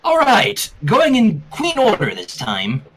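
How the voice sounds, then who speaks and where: A man voicing a bossy, teasing cartoon teenage girl who briskly directs everyone. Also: sits high, huge pitch swings, fairly bright tone, slight background noise, heavily acted. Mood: bossy, amused.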